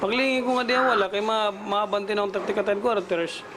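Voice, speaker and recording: A man's voice: high-pitched, fairly even intonation, brisk. A man with a Waray accent from the Philippines giving a spontaneous account as a news interviewee. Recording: noisy on-location news interview recording.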